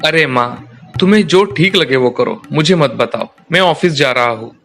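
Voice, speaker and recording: A man's voice: fairly high pitch, expressive, moderate pace, fairly bright tone, faint music behind. A man voicing an indifferent, dismissive grown son in a cartoon story. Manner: offhand, dismissive. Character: indifferent, busy adult son.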